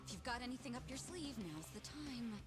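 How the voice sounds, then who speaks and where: An actress playing a sassy, confident young fighter in a video game. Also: female voice, fairly high pitch, lively intonation, brisk, close to an everyday voice. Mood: cocky. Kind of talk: dramatic video game voice acting. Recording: loud game music behind voice.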